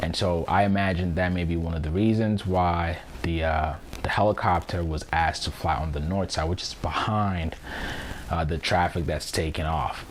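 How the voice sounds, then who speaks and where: A man with a low voice gives explanatory commentary in an informal, instructive way, talking straight to viewers. There is faint noise behind him. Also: steady tone, normal speed. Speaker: vlogger and pilot explaining air traffic audio.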